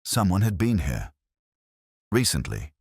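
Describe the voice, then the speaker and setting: A man playing a weary, brooding older police inspector, narrating his case in a measured, solemn, deliberate way. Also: low-pitched, sing-song, a little mellow, slightly rough, dry recording, studio quality, exaggerated voice. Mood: brooding, watchful. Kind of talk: scripted first-person mystery narration.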